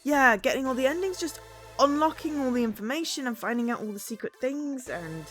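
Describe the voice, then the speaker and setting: Hoarse, lively woman streamer. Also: high voice, a little fast, over music. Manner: chatty and bubbly, laughing often. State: hoarse from a sore throat. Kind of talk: casual game-stream chat.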